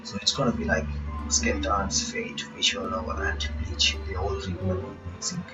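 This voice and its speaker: A man's voice: deep voice, fairly even intonation, normal speed. A sleepy man hosting a reaction video, rambling casually and reading game text aloud. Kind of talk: casual commentary while reading a visual novel aloud. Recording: dull lo-fi recording with game music.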